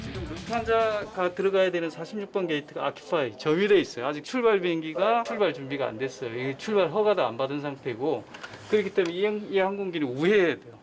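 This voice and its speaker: A man's voice: fairly high pitch, animated, fast talker, hardly any pauses, soft background music. A man speaking informally as a senior air traffic controller explaining the job.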